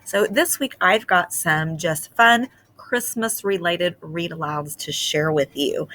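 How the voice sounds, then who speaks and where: A woman teacher reading a picture book aloud to her class in an expressive, sing-song way. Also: lowish voice. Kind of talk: storybook read-aloud for children.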